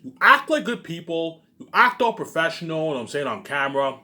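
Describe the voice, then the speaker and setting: A man's voice: fairly high pitch, big pitch swings, fairly quick, sharp tone. A man delivering a rambling, emphatic monologue straight to his viewers, repetitive, profane and accusatory in manner. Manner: emphatic and repetitive, punctuated with muttered tag words. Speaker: vlogger.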